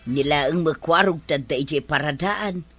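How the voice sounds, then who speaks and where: A woman's voice: deep voice, moderate pace, loud music behind the voice, natural voice. A woman playing a troubled, shamefaced village woman in a radio drama. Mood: troubled, ashamed.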